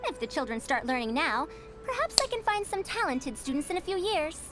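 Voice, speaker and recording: A haughty senior scholar from a video game. Her voice is high and very animated, with prim, lofty phrasing and a self-important air. Soft music plays behind her. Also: brisk. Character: haughty senior female scholar of ancient languages and ruins.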